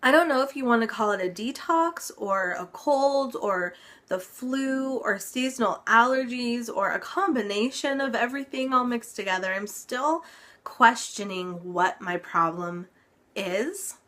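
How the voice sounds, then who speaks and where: Slow, unhurried young woman vlogger, stuffy-nosed and recovering, rambling casual update. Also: on the high side, expressive, fairly bright tone. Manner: chatty, lots of ums, occasional laughs. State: congested, recovering from illness. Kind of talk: casual health update vlog.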